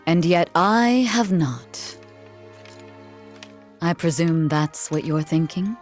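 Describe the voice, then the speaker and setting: An actress playing a poised, knowing warrior woman with a deliberate, theatrical delivery in a video game cutscene over music. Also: lowish voice, a little mellow.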